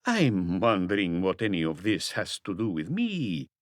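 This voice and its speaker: A man voicing a suave, guarded crook turned businessman in an audiobook, with slow, smoothly polite, deliberate speech. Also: sits low, huge pitch swings, dry recording, studio quality, full character voice. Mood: guarded, indignant. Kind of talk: audiobook character dialogue.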